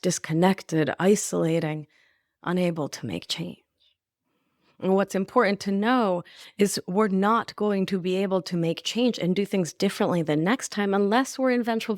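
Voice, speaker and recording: A woman's voice: medium pitch, no room sound, clean studio sound. A woman from Argentina, a life coach hosting her own podcast, teaching in a playful, affectionate way. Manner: playful, affectionate, laughs often.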